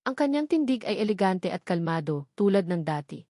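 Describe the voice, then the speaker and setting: A woman's voice: medium pitch, big pitch swings, a little fast, dark tone, dry recording, clean studio sound. A woman reading a scripted, dramatic story as its narrator.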